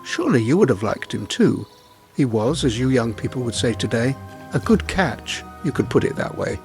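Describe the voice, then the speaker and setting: Animated male storyteller with lively pitch. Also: normal speed, gravelly, faint music behind. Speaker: storyteller narrator. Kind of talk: dramatic scripted folk tale narration.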